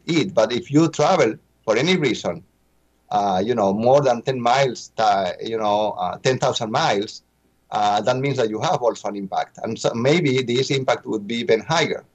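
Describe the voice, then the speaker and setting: A man answers interview questions as an expert, in English that is not his first language. His pitch is mid-range. He explains haltingly, repeating phrases as he looks for the next word. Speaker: interview guest, population expert.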